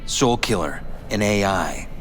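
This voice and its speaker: A low-voiced, streetwise informant. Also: male voice, lively intonation, unhurried, fairly bright tone, soft background music. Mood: matter-of-fact. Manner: slangy, emphatic, matter-of-fact. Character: streetwise, wary male informant explaining corporate danger. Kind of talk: video game drama acting.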